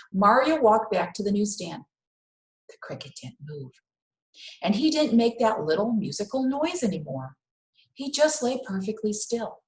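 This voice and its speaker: A woman reading a children's story aloud in a careful, expressive storytelling manner with dramatic pauses. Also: a little high. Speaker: read-aloud host. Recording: roomy, echoing indoor sound.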